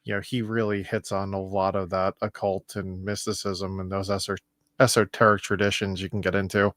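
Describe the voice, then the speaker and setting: A man speaking as a podcast guest in a relaxed, measured way, often saying "you know". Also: sits low, dry recording, studio quality. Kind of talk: casual interview talk.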